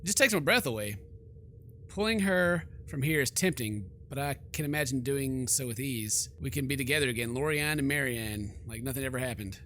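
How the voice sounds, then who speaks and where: A man's voice: medium pitch, fairly quick, sharp tone, a little hiss or hum. A man hosting a let's play, reading game text aloud and commenting with jokey, theatrical reactions. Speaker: gaming video host.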